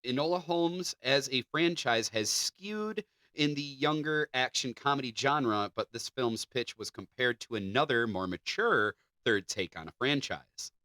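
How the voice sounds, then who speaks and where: A man's voice: mid-range voice, expressive, measured pace, hardly any pauses, pushed and bright, no room sound. A man co-hosting a podcast, moving between reading entertainment news and casual banter. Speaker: podcast co-host.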